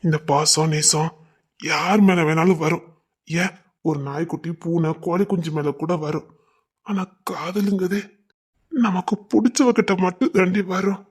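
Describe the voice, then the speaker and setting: A man acting a devoted young lover, husky and pleading, in a romantic drama. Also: high voice, unhurried. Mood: tender. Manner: tender, pleading, emotional. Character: devoted, tearful young village man in love.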